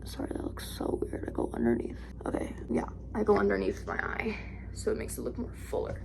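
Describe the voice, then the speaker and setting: A young woman with a raspy voice, chatting casually through a makeup tutorial, rambling and correcting herself as she goes. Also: mid-range voice, sing-song, fairly quick, in a whisper. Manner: rambling, self-correcting, lots of "like". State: raspy today. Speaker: vlogger.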